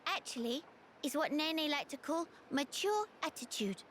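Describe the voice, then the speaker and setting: A very high, swooping voice of a cute little creature girl for a video game. She is excitable and bossy, talks slowly in mangled third-person baby talk, with some ambient noise behind her. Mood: chirpy, scolding. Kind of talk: video game character acting.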